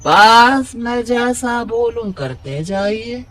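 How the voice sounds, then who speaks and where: Sly, drawling village schemer. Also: male voice, very high-pitched, lively intonation, fairly bright tone, exaggerated voice. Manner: wheedling, scheming, drawn-out. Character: cunning, sly male adviser to the headman. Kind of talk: cartoon story acting.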